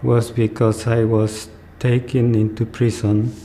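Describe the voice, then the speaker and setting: Slow, even-toned older Chilean man. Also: mid-range voice, a little hiss or hum. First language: Spanish. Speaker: documentary interviewee. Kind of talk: personal testimony.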